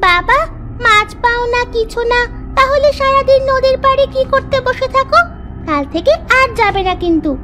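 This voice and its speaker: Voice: extremely high pitch, lively intonation, normal speed, loud music behind the voice. A performer voicing a bossy, cheeky little daughter from a village in a cartoon, precocious and chiding. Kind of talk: exaggerated Bengali cartoon voice acting.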